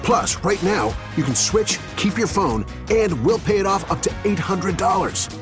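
High, animated male commercial announcer. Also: moderate pace. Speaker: advert announcer. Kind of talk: scripted commercial voice-over. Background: music.

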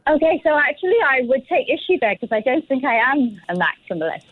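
A woman's voice: sits high, very animated, brisk, breathy edge, no echo, phone-quality sound. A woman from the UK, a guest designer on a radio interview, speaking frankly and articulately with a self-deprecating touch. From United Kingdom.

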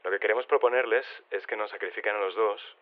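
Actor playing deep male caller, rapid chatty delivery, tinny phone line, Spain accent, radio fiction. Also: fairly even intonation, natural voice. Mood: chatty, agreeable. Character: affable body-swapped male radio phone-in guest. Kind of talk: conversational fiction interview. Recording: tinny narrow telephone line.

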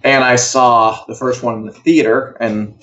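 A man's voice: mid-range voice, steady tone, normal speed, fairly bright tone, highs cut off. A man co-hosting an entertainment show in casual, conversational style. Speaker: co-host of an entertainment news show. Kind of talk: casual conversational pop-culture chat.

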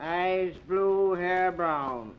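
An actor playing a plodding police clerk putting official questions in a 1940s radio drama. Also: male voice, sits high, drawn-out words. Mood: officious. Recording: muffled 1940s radio recording.

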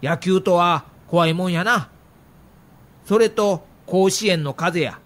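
Kansai-accented veteran pundit, fairly high voice, calm and explanatory. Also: male voice, slow pace. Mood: explaining. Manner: explanatory, matter-of-fact. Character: veteran male baseball pundit, calm and knowing. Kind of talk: acted audio documentary.

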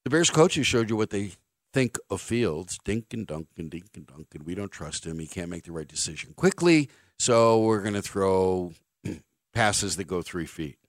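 A man's voice: sits low, moderate pace, no echo. A male sports radio host, ranting bluntly and sarcastically in a studio. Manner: blunt, sarcastic, animated rants. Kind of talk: opinionated sports radio monologue. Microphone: studio microphone.

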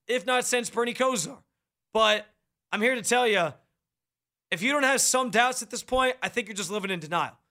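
A man hosting sports radio, his high, bright voice pushed hard and projected into a close studio mic. He talks with loud, fired-up opinions. The sound is full and forceful. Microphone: studio microphone, close.

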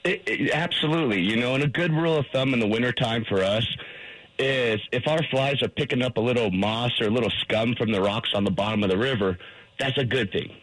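Male radio guest, husky mid-pitched voice, enthusiastic and explanatory. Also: a little fast, a bit bright, poor audio. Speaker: fly shop guest, fishing instructor.

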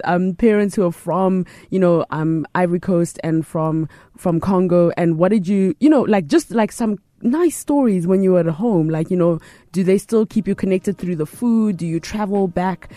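A woman's voice: medium pitch, warm tone, no echo. A woman interviewing a guest in a casual, chatty way, full of fillers and restarts. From family from Uganda.

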